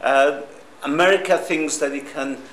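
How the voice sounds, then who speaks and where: A man giving a semi-prepared conference talk in a halting, hesitant way, with frequent pauses and repeated starts. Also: fairly high pitch, steady tone, a bit bright. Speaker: conference speaker. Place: conference room. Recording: roomy echo with faint background noise.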